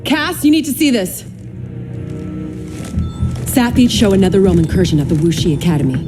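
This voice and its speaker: A woman playing a businesslike young soldier in a video game, brisk as if briefing a team. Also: mid-range voice, big pitch swings, lightly acted. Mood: urgent. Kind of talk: video game cutscene acting. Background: clear cinematic game music.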